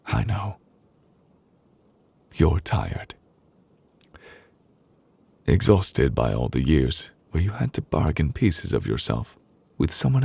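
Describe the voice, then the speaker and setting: Casting: male actor for a calm, reassuring male lover in ASMR roleplay, extremely deep voice, gravelly fry, tender and protective. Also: no room sound, full character voice. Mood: comforting, encouraging. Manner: slow, soothing, deliberate with soft pauses. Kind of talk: intimate ASMR roleplay, affirmations. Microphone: close, dry studio microphone.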